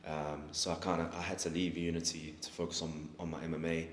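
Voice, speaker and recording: A young man with a low, deep voice talks in a relaxed, halting way. He stops and restarts his sentences as he answers questions. The recording sounds echoey, with a little background hiss. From South Africa. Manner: laid-back, hesitant, restarts often. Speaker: guest, fighter. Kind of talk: casual interview. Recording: echoey room with faint noise.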